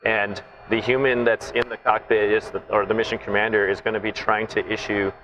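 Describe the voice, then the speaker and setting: A man's voice: lowish voice, flat delivery, brisk, lo-fi sound, noisy background. Thoughtful man explaining flight testing. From Taiwan, raised in Southern California. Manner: thoughtful, explanatory, with frequent "right?" tags. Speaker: naval flight test officer, guest. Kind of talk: interview conversation.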